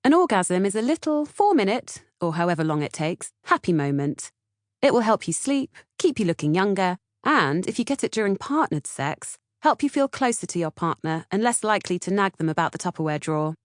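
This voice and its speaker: A woman's voice: on the low side, sing-song, brisk, dry recording, clean studio sound. A woman with a British accent reading scripted audiobook narration. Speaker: author-narrator reading audiobook, podcast co-host.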